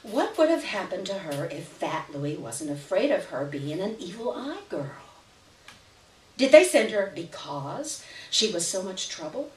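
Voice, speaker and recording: Woman reading fiction aloud, unhurried, roomy sound. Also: lowish voice, sing-song, a little mellow. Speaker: story reader. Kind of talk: live dramatic reading of literary fiction. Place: live reading event before an audience. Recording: roomy, distant, hissy live recording.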